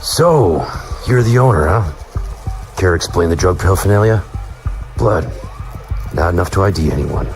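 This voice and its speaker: A gruff male detective played by a man talks in a deep, low voice. He is stern and quick to challenge, in a crime audio drama with faint music behind him. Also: lively intonation. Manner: stern, confrontational.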